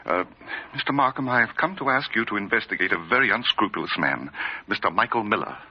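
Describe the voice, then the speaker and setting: An actor playing an earnest, courteous, well-to-do gentleman with a formal, fussy manner, in an old-time radio drama. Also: on the low side, animated, fast, slight background noise. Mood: earnest, concerned. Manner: formal, polite. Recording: muffled, lo-fi old radio recording.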